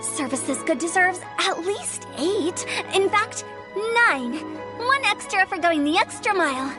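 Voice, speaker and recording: A woman's voice: very high voice, lively intonation, unhurried, music in the background. An actress playing a playful, eccentric young woman with a theatrical, cheeky manner in a video game. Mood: cheeky, insistent. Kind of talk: video game character acting.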